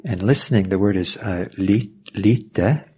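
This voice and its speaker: Man, native Norwegian speaker, low husky voice, thoughtful meditation teacher, hesitant pauses. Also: warm tone. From Norway. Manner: thoughtful, hesitant, searching for words. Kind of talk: reflective spiritual talk.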